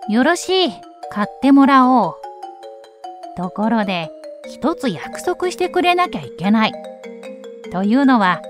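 A woman's voice: on the high side, big pitch swings, measured pace, long pauses, soft background music. A woman voicing a mischievous raccoon dog trickster in a children's story, drawing words out in a self-satisfied way. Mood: sly, demanding.

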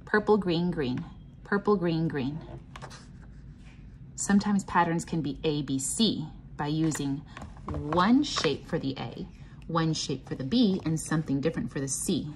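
A woman reading a picture book aloud to children and explaining it simply, in a sing-song, teacherly way with clear enunciation. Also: sits low, unhurried, slight background noise. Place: indoors, at a table.

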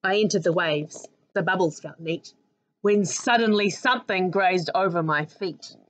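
A woman in her thirties with a New Zealand accent reads a children's picture book aloud with an expressive, sing-song rhyming delivery. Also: on the low side, a little slow, poor audio. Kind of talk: storybook read-aloud for children. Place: living room at home. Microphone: webcam at arm's length.

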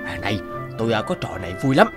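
A man's voice: on the low side, very animated, rapid-fire. A man voicing a mocking, scheming student rival in a children's cartoon, with exaggerated delivery. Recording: music under the voice.

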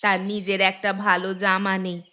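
A woman's voice: mid-range voice, little pitch movement, fairly quick, close to an everyday voice. A woman voicing a careworn poor village mother with an earthy, nagging manner in a fairy-tale cartoon. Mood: tender, careworn. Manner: nagging, earthy, sharp-tongued.

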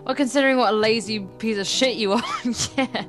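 A woman's voice: on the high side, fairly quick, over music. A woman co-commentating a game let's play with casual, teasing banter.

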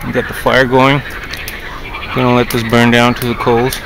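A man's voice: mid-range voice, completely flat delivery, moderate pace. A man in his thirties casually narrating as he cooks fish over a campfire by a river, chatting to his viewers while he works. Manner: easygoing, talks to viewers while working. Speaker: outdoor vlogger. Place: outdoors by a riverbank. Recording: noisy outdoor camera audio. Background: outdoor ambience, frogs.

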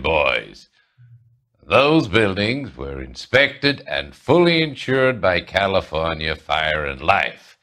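An actor playing a condescending middle-aged American property tycoon in a 1940s crime video game, smooth-talking and self-assured. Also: male voice, fairly low pitch, pushed and bright, heavily acted. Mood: scornful, defiant.

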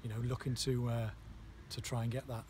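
A man, a football manager giving a post-match interview outdoors at night, halting with many fillers. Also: mid-range voice, level tone. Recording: outdoor noise behind voice.

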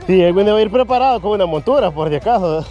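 A man's voice: high-pitched, expressive, quick pace, dark tone, highs cut off, faint music behind. A man with a Salvadoran Spanish accent chatting casually and jokingly as a vlogger out on a hike. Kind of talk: casual chat while hiking. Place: outdoors in a park.

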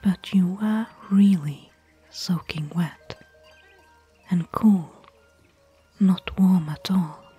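A woman playing a caring, worried fantasy expedition companion in a soft, breathy, intimate ASMR whisper. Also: fairly low pitch, soft background music, exaggerated voice. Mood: curious, pondering. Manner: intimate, gentle, slow and hushed. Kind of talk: soft-spoken ASMR roleplay.

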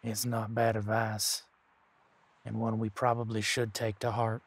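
A steady werewolf leader, played by a man in an audio drama. His pitch stays very level. He speaks deliberately, with calm, protective authority. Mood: calm, commanding. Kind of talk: audio roleplay drama acting.

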